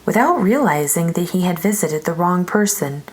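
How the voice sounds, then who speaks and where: A woman's voice: on the low side, animated, moderate pace, a little mellow, breathy edge. A woman reading a children's story aloud as narrator, expressively, drawing out some phrases.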